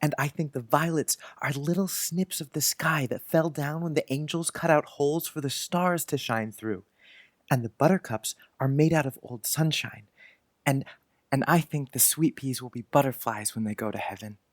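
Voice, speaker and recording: A man's voice: a little high, moderate pace, dry recording, studio quality, lightly acted. Dreamy, solemn little boy played by a man. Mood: dreamy, wondering. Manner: grave, wondering, precise. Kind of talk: audiobook drama acting.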